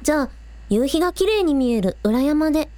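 A woman's voice: high-pitched, expressive, a little fast, dark tone, no echo. A woman playing a spirited, earnest young village idol, polite with superiors and breezy with friends, in a radio drama. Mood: brisk.

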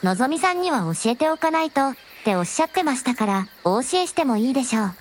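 A woman playing a gentle, very polite dragon princess with a softly teasing manner in a scripted audio drama. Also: high voice, big pitch swings, fast talker, slight background noise. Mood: polite, serene. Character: gentle polite dragon princess, a shrine maiden's alternate self.

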